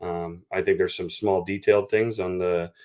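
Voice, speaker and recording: A man talking as a baseball coach in an interview, explaining things in a measured, conversational way. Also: deep voice, fairly even intonation, darkish tone.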